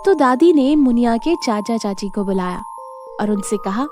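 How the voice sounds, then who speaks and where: A woman's voice: on the high side, expressive, moderate pace, over music. A woman narrating a scripted children's moral story as the storyteller.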